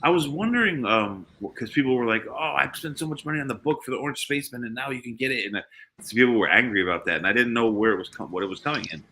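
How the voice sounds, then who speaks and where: A deep-voiced man, a guest in a casual chat, talking loosely and mumbling a little, recorded on a laptop in a room with a high ceiling. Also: racing, a little hiss or hum, rough recording. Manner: mumbling, relaxed, says he speaks up. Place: room with twenty-foot ceiling. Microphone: laptop without external mic.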